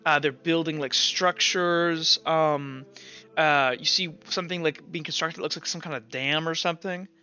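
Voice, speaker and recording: A man acting as game master, improvising tabletop narration in halting descriptions full of fillers and repeats. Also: a little high, fairly even intonation, pushed and bright, smooth. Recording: muffled lo-fi mic with music. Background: Latin chant music.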